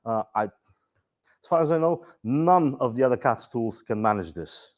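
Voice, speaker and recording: A man with a Bulgarian accent walks an audience through software features at a user conference. His pitch sits in the middle of the range. He speaks in a relaxed way, with dry asides and plenty of "uh"s and restarts. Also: measured pace. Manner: relaxed, dry humor, frequent restarts and fillers. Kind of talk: conference presentation.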